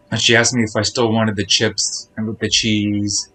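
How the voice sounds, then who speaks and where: Low-voiced man chatting on a game stream. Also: sharp tone, slightly rough, rough recording. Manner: rambling, chatty. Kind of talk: casual chat with viewers.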